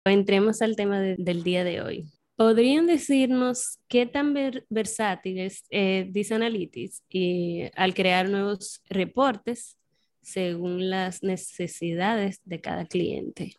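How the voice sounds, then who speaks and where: A woman with a medium-pitched voice hosting a podcast. She keeps an upbeat tone as she opens the episode and leads the conversation. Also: slow pace, a little mellow. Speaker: podcast host.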